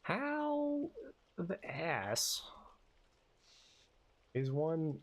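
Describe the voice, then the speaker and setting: A man's voice: a little high, huge pitch swings, very slow. A man casually commentating his gameplay, cursing and rambling with self-mocking jokes. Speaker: let's player.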